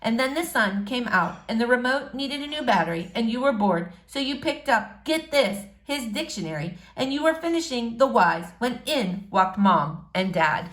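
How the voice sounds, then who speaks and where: A woman's voice: a little high, lively intonation, moderate pace, few pauses, a bit bright. A woman narrating a novel aloud expressively, like a teacher reading to pupils. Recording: roomy, echoing room sound.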